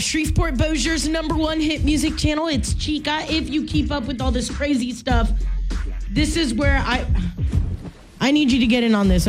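A woman's voice: mid-range voice, darkish tone. A woman hosting a morning radio show in a playful, informal, chatty way, a native Spanish speaker talking in English. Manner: playful, informal, conversational asides and slang.

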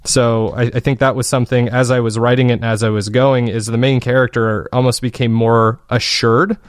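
A man's voice: mid-range voice, flat delivery, brisk, no room sound, studio quality. A man speaking conversationally as an interviewed podcast creator, explaining his process in a thoughtful, casually phrased way.

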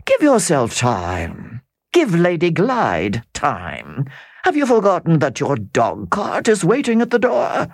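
A reader voicing a suave, scheming middle-aged count who speaks slowly, smoothly and condescendingly. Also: mid-range voice, wildly swooping pitch, dry recording, studio quality, pitched above the performer's own voice, full character voice. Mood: contemptuous.

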